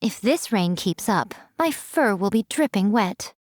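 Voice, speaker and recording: A woman's voice: mid-range voice, expressive, a little slow, darkish tone, no room sound, studio quality, close to an everyday voice. A woman voicing a sly, ageless fox spirit shrine maiden in a game, with a teasing, languid, theatrical and self-assured manner. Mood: wistful musing. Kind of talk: scripted game character voice lines.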